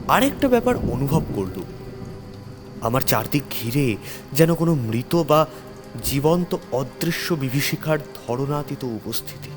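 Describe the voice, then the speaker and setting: A man narrating a scripted horror story in the first person, with a suspenseful, theatrical delivery. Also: mid-range voice. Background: music under the voice.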